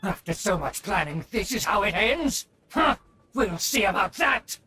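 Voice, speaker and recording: A man's voice: sits high, big pitch swings, unhurried, pushed and bright, soft background music, heavily acted. An actor playing a haughty middle-aged nobleman in a video game, a scheming uncle with a pompous, formal, theatrical manner. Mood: outraged. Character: haughty aristocratic uncle scheming to restore his clan's rule.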